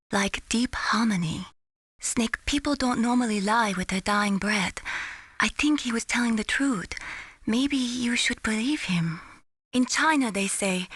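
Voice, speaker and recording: An actress playing an earnest, gently teasing young female radar technician in a video game. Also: on the high side, slightly rough, no echo. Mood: solemn, reflective. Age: young adult. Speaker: mission support operator. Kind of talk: scripted video game voice acting.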